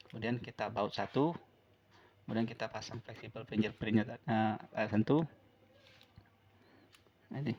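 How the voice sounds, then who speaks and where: Low, flat-voiced man explaining repairs. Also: dull audio, a little hiss or hum. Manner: repetitive step-by-step explaining. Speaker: phone repair tutorial presenter. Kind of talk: hands-on spoken repair tutorial, unscripted.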